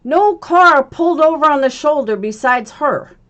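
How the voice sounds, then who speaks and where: A woman with a slightly high voice comments in a highly animated way. Her delivery is casual and blunt, with open scorn and plenty of swearing. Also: moderate pace, highs cut off. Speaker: commentator reacting to a played clip. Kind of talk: casual, profane reaction commentary.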